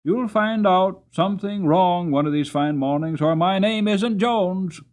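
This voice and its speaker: Man as a scoffing, high-pitched cabman. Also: unhurried, darkish tone. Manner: mocking, knowing. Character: scoffing, know-all male cabman passing gloomy remarks.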